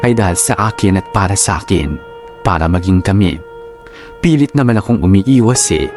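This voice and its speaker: A man narrating a love letter for a radio drama in a measured, sentimental, reflective way, as the conflicted confessor. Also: low-pitched, lightly acted. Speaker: storyteller. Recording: clear music bed under narration.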